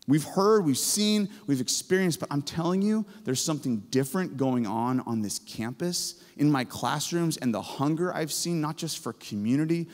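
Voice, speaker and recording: A man in his forties, a professor giving an energetic chapel sermon on stage with a handheld mic, excitable and booming, full of storytelling. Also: moderate pace, hardly any pauses. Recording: hall reverb.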